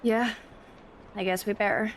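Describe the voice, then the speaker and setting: A woman's voice: medium pitch, fairly even intonation, unhurried, a little hiss or hum, natural voice. Woman acting meek, sickly young match girl. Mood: friendly, weary. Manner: hesitant, deferential. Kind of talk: fan audio drama acting.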